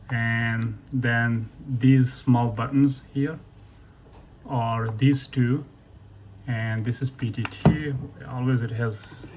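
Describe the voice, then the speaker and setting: A man giving a casual, unscripted software walkthrough in a halting way, thinking aloud and correcting himself. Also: mid-range voice. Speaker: tutorial presenter. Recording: noisy room with keyboard typing. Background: computer keyboard clicks, ambient noise.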